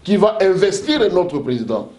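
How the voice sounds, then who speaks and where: A man's voice: sits high, big pitch swings, moderate pace, mellow, reverberant. A man speaking as a pastor in a heated debate, with a preaching cadence and emphatic rhetorical lists. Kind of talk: impassioned debate speech.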